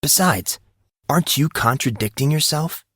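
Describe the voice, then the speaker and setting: A man's voice: on the high side, animated, moderate pace, a bit bright, slightly rough, no echo, studio quality, voice pushed up. A man voicing a tense, guarded, sarcastic teenage boy with a wry, defensive way of talking in an audiobook. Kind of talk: audiobook drama reading.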